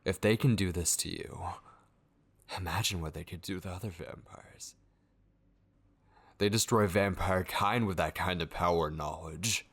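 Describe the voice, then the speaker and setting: A man acting an obsessive, possessive kidnapper in an ASMR horror roleplay, brooding and intense, with a breathy, gravelly voice that often drops into a whisper. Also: low-pitched, fairly bright tone, full character voice. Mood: bitter, urgent. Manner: slow, intense, brooding delivery with drawn-out pauses. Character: obsessive, possessive male yandere kidnapper, menacing yet tender.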